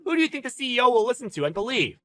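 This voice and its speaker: A man's voice: very high-pitched, big pitch swings, racing, a bit bright, voice pushed up, exaggerated voice. A man playing a smug, bullying boss in a dramatized story, with a taunting, slangy, exclamatory delivery. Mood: arrogant, condescending. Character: smug bullying male boss, gloating and contemptuous. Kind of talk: dramatized story narration with character voices.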